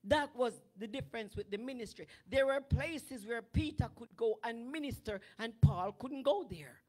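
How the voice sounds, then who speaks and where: A middle-aged woman preacher delivering a sermon from a church stage into a handheld microphone, rising from chatty storytelling to shouting. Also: on the high side, moderate pace. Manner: animated preaching that builds to shouting. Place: church sanctuary with draped stage.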